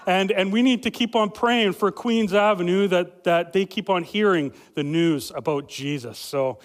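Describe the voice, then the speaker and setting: A young adult man, a family pastor, preaching a loud, lively sermon with many fillers and restarts. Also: high voice, normal speed. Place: church sanctuary. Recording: reverberant church hall.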